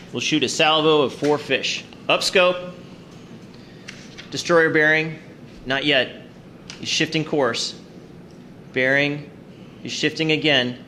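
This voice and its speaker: An actor playing a stern, cautious wartime submarine captain, giving clipped, commanding orders in a war drama. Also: male voice, medium pitch, sharp tone, a little hiss or hum, lightly acted. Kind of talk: radio-play style war drama acting.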